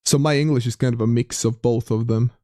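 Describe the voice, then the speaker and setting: A young man with a light Latvian accent talks casually into a close mic, reading viewers' messages aloud and often restarting his sentences. His pitch sits in an ordinary middle range. The sound is dry, with no room echo. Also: fairly even intonation, a little fast, dark tone. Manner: chatty, reads chat aloud, frequent restarts. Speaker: streamer. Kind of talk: casual live-stream chat with viewers.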